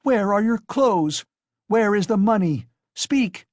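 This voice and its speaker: A man's voice: high-pitched, expressive, slow, darkish tone, no echo, pitched above the performer's own voice. A man voicing a desperate, frantic poor mother who screams accusations. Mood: frantic screaming.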